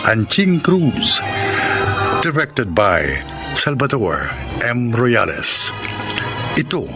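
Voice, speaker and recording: A Filipino man announces a radio drama with slow, deliberate phrasing. His pitch moves dramatically, and music plays clearly behind him. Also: very animated. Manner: deliberate, theatrical.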